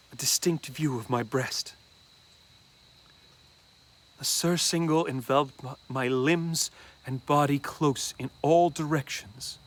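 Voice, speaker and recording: A man reading a classic horror story aloud as dramatic first-person narration, deliberately and with dramatic pauses. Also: on the high side, fairly bright tone.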